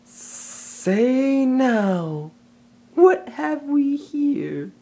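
An actor voicing a sly, hungry python in an animated film, breathy and sibilant, with silky mock politeness. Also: male voice, very high-pitched, very slow, exaggerated voice. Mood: sly delight. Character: sly, menacing male python, hungry and hypnotic.

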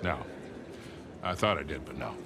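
A man's voice: a bass voice, animated, moderate pace, background noise. An actor playing a wry, genial older American con man in a video game, dry and bantering in manner. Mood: amused, relaxed. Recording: game scene under loud music.

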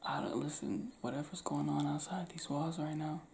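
Soft-whispering young man, gravelly. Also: on the high side, fairly even intonation, moderate pace, slight background noise. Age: young adult.

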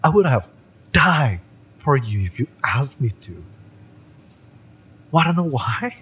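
A man playing a fiery, lovesick young mercenary bodyguard in a romance audio roleplay, speaking with halting, wounded emotion. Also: mid-range voice, sing-song, a bit bright, slight background noise. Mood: bitter longing.

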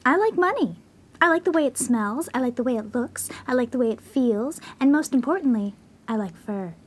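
A woman's voice: high voice, lively intonation, normal speed. A young woman playing a brazen, scheming college student with a blunt, demanding manner in a comedy radio play. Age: young adult. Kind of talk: 1950s-set comedy radio play acting.

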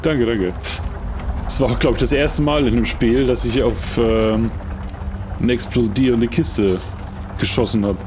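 A man's voice: medium pitch, steady tone, a little slow, long pauses, background noise. A middle-aged man streaming a game, chatting casually in a rambling, jokey way as he plays. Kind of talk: casual chat while playing.

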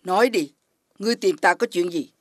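A woman playing a menacing, scornful forest witch in an animated fairy tale, with an imperious, taunting delivery. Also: fairly low pitch, no room sound, clean studio sound, lightly acted. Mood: haughty, menacing. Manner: imperious, taunting, drawn-out threats.

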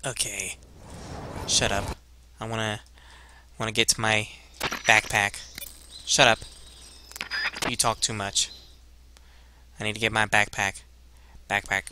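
A young man giving casual, halting gameplay commentary as a let's play host, rambling and muttering to himself into a headset. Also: lowish voice, flat delivery, noisy background. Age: young adult.

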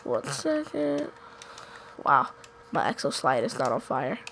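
A woman's voice: very deep voice, huge pitch swings, slow pace, gravelly, fairly bright tone, slight background noise. Woman, gaming commentator, nasal cold voice, loose and self-correcting. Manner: rambling, self-correcting, unsure. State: congested from a cold. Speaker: gaming YouTuber. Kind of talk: casual gameplay commentary.

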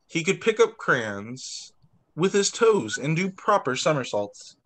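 Young male teacher, higher-pitched voice, very animated picture-book read-aloud for little kids. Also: measured pace. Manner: expressive, doing voices for dialogue, with short asides. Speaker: teacher reading to young children. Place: indoors, on a video call.

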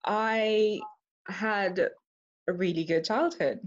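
A woman's voice: medium pitch, slow, highs cut off. A woman in her forties from Trinidad and Tobago, with a Trinidadian accent, talking earnestly and reflectively about her life while seated at home before a laptop camera. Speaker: interviewee sharing her story. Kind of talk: personal testimony to camera. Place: home room, seated on a sofa.

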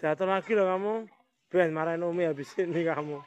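A woman's voice: lowish voice, a little fast, darkish tone, muffled recording. A woman with a Javanese accent filming children from behind a phone camera outdoors, making casual, teasing remarks and exclaiming as she chuckles. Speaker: person filming children at play. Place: outdoors on a wet open court. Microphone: phone camera held by the speaker.

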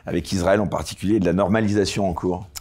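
A man's voice: deep voice, fairly even intonation, fast, a little mellow. A middle-aged man hosting a studio interview, speaking formally with many filler hesitations. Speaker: host. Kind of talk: interview host. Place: studio set on a sofa.

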